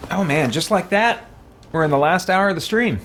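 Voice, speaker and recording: A man's voice: sits high, lively intonation, normal speed, background noise. A man giving casual, rambling live commentary as a game streamer. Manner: rambling, conversational. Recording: music behind the voice. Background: game music.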